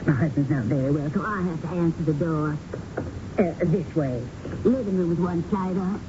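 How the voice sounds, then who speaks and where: A restless, chatty older Southern wife in a radio play, acted by a woman, with a fairly low voice. She rambles fussily and stretches her words. The old broadcast recording is muffled and noisy. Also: natural voice. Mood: complaining. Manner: rambling, complaining, drawn-out words. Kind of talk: 1970s radio drama acting.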